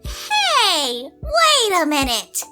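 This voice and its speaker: A woman voicing a flippant, teasing male character with an excitable manner in an audio roleplay. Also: very high voice, very slow, music in the background, pitched above the performer's own voice, exaggerated voice. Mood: intrigued. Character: flippant, smirking male tease.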